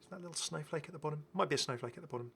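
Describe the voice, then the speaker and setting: A man's voice: fairly high pitch, racing, creaky, a bit bright, a little hiss or hum. A middle-aged man giving enthusiastic, casual, chatty commentary as a gaming video host, often repeating his phrases. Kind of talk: casual game skin showcase commentary.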